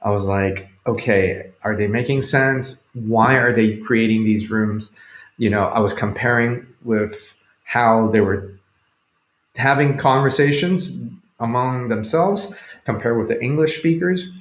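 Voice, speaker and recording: A man's voice: medium pitch, unhurried. A man, a Cantonese speaker, chatting casually and hesitantly as he hosts a livestream, rambling and thinking aloud. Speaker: livestream host. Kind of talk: casual live chat with viewers. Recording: roomy, echoing home recording.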